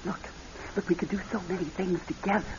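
An actress playing an anxious, loving wife in a radio drama, pleading and tender with halting speech. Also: female voice, on the low side, fairly even intonation, darkish tone, breathy edge. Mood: pleading, upset. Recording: muffled, hissy old radio recording.

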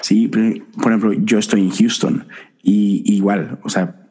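A man's voice: fairly low pitch, steady tone, moderate pace, warm tone, husky. A man hosting a casual conversation, asking questions and affirming answers. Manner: friendly questioner with many affirming murmurs. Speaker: interview host. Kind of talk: casual chat.

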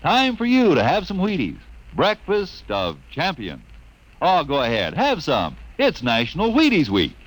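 A man's voice: on the high side, huge pitch swings, a little slow, a little hiss or hum. A man reading an old-time radio advertisement as announcer, in a folksy, chatty, upbeat way.

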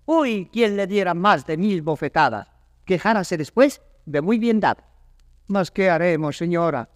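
High-pitched cowardly comic manservant. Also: male voice, expressive, measured pace, no echo, clean studio sound, long reverb tail. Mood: indignant grumbling. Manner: exclamatory, complaining, clownish. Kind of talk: classical Spanish verse comedy, theatrical.

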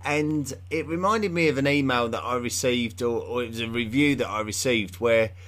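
A man's voice: medium pitch, moderate pace, fairly bright tone. A man from England with an English accent, hosting a history podcast in an unscripted, rambling monologue full of fillers and restarts.

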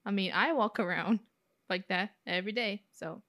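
A woman's voice: mid-range voice, moderate pace, fairly bright tone, no echo. Joking Brazilian woman, dry close sound. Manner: joking, sarcastic asides. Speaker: podcast co-host. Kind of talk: casual true-crime chat.

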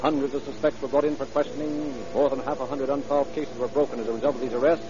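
An actor as a grave crime-show narrator, formal and dramatic in scripted vintage radio style. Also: male voice, mid-range voice, level tone, fast, background noise, lightly acted. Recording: muffled old radio recording.

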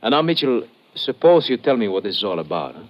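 A fast-talking police commissioner. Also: male voice, lowish voice, very animated, a little hiss or hum. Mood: businesslike, inquiring. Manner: brisk, businesslike. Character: brisk male police commissioner, questioning, businesslike. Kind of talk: old-time radio drama acting. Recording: dull vintage radio recording.

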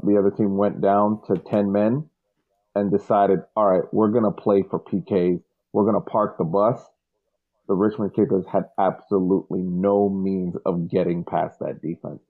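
A man's voice: low voice, steady tone, measured pace. A man co-hosting a fan podcast, giving blunt, analytical takes. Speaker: podcast co-host. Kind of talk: casual podcast chat. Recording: tinny phone-like line.

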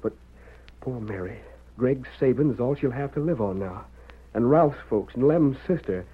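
A man's voice: mid-range voice, animated, moderate pace, slightly rough. An American actor playing an exhausted, dutiful frontier husband and father with a folksy Western drawl, in old-time radio style. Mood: earnest, stubborn. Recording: muffled narrowband vintage radio recording.

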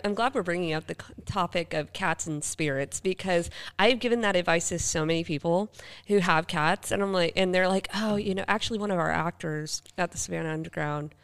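A woman with a fairly low, smooth voice talks as a podcast co-host. Her delivery is casual and conversational, with loose, chatty phrasing. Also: fairly bright tone. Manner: conversational, uses "like" often. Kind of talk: casual chat about the paranormal.

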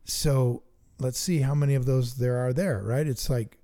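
A middle-aged man co-hosting a chat about investing, eager and thinking out loud as he works sums aloud. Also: medium pitch, fairly even intonation, moderate pace. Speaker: investing podcast co-host. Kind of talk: casual teaching chat.

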